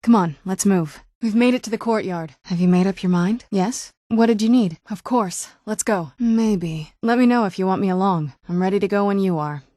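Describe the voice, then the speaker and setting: A guarded young vampire noblewoman voiced by a woman for a fantasy video game. Her mid-pitched voice is recorded close and dry, and she talks conversationally with a wry, sardonic edge. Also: normal speed, few pauses, mellow, no echo, lightly acted. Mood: businesslike, casual. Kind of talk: video game character dialogue.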